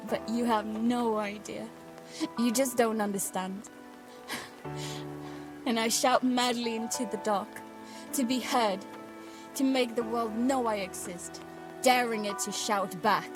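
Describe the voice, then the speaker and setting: A woman's voice: a little high, fairly even intonation, takes it slowly, pauses often, over music. A young woman playing an earnest, restless daughter narrating life aboard a boat in an intimate, confiding audio drama style. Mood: intense, wistful.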